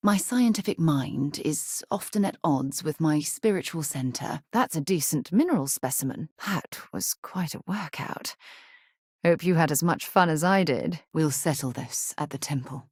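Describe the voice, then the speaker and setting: Female explorer leader, video game dialogue, earnest, articulate, slightly raspy, dry close studio sound. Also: deep voice, a little mellow, no echo. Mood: troubled, firm. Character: earnest, principled woman explorer, chair of a space expedition group. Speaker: voice actress for a game companion character.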